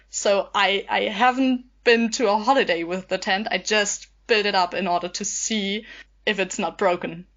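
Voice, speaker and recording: A woman's voice: mid-range voice, fairly bright tone, natural voice. A woman improvising a role-play as a stubborn, blunt customer who insistently repeats her demands. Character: stubborn, blunt female customer returning a tent. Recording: dull lo-fi sound.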